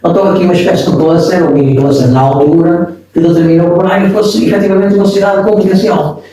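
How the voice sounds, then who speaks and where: A man's voice: high voice, steady tone, fast talker, mellow. A man, reflecting as an interview guest and often restarting his sentences. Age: 70s. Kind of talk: casual interview talk. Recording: echoey room, distant mic.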